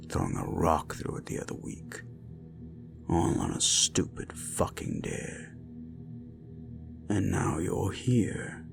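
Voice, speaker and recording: A man playing a cruel, menacing slasher killer in a horror audio roleplay, taunting a captive in a gravelly, breathy half-whisper. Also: very deep voice, full character voice. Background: music.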